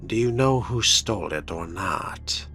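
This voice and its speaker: A man's voice: sits low, expressive, measured pace, pushed and bright, soft background music, exaggerated voice. A man voicing a young fledgling vampire in a video game, terse, inquisitive and a little impatient. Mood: blunt, pressing. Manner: terse, probing questioner. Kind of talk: role-played video game dialogue.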